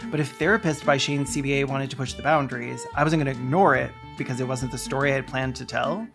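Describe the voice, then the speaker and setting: A video essayist narrates scripted commentary in a mid-range voice. The delivery is theatrical and sardonic, full of dry joking asides. Soft music plays underneath. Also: medium pitch, fairly even intonation, fast, vocal fry.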